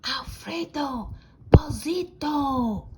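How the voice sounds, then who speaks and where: A woman voicing an excitable crowd of little Mexican chihuahua bandits from a children's storybook, in theatrical drawn-out exclamations. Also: sits high, very noisy, full character voice. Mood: hushed dread.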